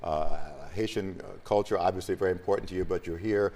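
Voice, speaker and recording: Man, deep voice, fast pace, panel moderator, probing questions. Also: steady tone, vocal fry, mellow.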